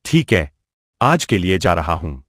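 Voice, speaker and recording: Man acting a low-voiced, menacing village villain. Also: very animated, unhurried, a bit bright, no echo, full character voice. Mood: threatening. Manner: threatening, domineering. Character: menacing greedy male village moneylender villain. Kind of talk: Hindi animated moral story dialogue.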